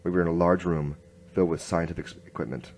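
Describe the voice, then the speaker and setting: Man as a low-pitched noir inspector. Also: fairly even intonation, fast, a little mellow. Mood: grim narration. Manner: terse, grim. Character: hard-boiled noir male police inspector narrating his case. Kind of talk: noir radio drama narration.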